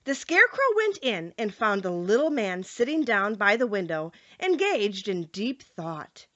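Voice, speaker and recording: A woman narrating a children's story from a script in an expressive storytelling manner. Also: mid-range voice, a little slow, no room sound, highs cut off. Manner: expressive, clearly paced storytelling. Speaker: audiobook narrator.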